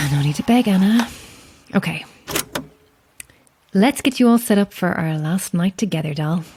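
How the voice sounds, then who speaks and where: Low-voiced, chatty lighthouse keeper. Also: female voice, animated, measured pace, background noise. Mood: wistful, self-mocking. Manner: chatty, self-deprecating asides, hesitant. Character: chatty, self-deprecating woman lighthouse keeper, friendly and wistful. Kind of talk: naturalistic audio drama acting.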